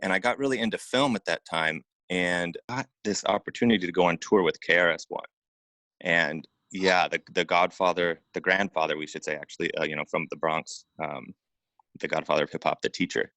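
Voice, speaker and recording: A man from Oregon speaking as an interview guest, telling personal stories casually in an informal, halting way with repeated phrases. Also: deep voice, fairly even intonation, bright, forceful tone, no room sound. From Oregon, United States. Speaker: interview guest, acupuncturist and massage therapist.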